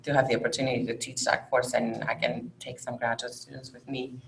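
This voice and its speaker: A woman with a Greek accent, a university lecturer, explaining things in a halting way during an informational presentation. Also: rumbling low, level tone, creaky, a little hiss or hum, low-quality recording. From Greece. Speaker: university lecturer and program coordinator.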